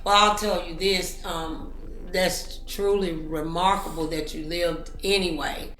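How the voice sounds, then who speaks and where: A woman's voice: lowish voice, steady tone, measured pace, fairly bright tone, reverberant, low-quality recording. A woman hosting a motivational interview in an encouraging, preacherly manner. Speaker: talk show host.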